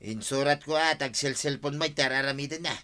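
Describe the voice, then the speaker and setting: A man's voice: mid-range voice, normal speed, pushed and bright, lightly acted. A man with an Ilocano accent playing an eager, tattling village assistant in a radio comedy drama.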